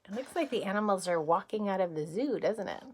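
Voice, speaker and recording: A woman reading a picture book aloud as a kindergarten teacher, gently and expressively, with questions to the listeners. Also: mid-range voice, animated, a little fast, breathy edge.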